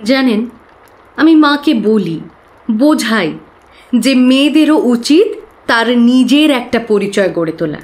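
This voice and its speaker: An actress playing an earnest young working woman who confides expressively and with pauses in an audio drama. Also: on the high side, lively intonation, a little mellow. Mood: confiding. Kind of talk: dramatised audio story acting.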